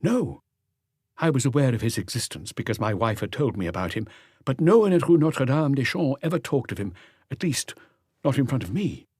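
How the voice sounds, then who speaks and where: A man's voice: mid-range voice, big pitch swings, a little fast, a little mellow, husky, dry recording, studio quality, voice pushed up. A man voicing a nervous, deferential doctor who answers police questions in a hesitant, guarded way for an audiobook. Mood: uneasy, guarded.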